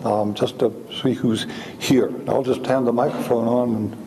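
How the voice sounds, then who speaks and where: A man's voice: mid-range voice, fairly even intonation, moderate pace, mellow, slight background noise, low-quality recording. Hesitant man leading a meeting. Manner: hesitant, thinking aloud. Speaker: meeting facilitator. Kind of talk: casual meeting talk.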